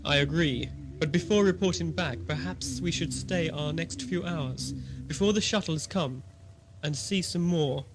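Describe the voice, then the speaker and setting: Man acting high-pitched, stately alien ambassador. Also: natural voice. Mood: considered. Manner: formal, measured, authoritative. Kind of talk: radio drama acting.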